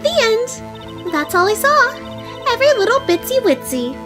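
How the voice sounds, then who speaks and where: A woman's voice: extremely high pitch, sing-song, moderate pace. A woman playing a giggly, flirty ditzy witness. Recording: with background music.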